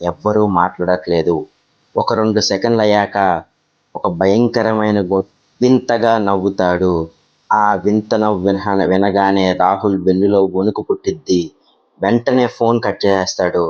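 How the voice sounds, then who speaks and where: A man's voice: lowish voice, darkish tone, dry recording. A man narrates a scary tale as a storytelling host. The recording is dry, with very little room sound, and slightly dull and lo-fi. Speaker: horror story channel host.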